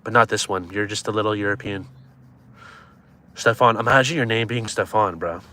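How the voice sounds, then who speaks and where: A man's voice: fairly low pitch, normal speed, pushed and bright. A man in his twenties chatting casually on a live stream, joking and teasing viewers as he reads their comments. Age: 20s.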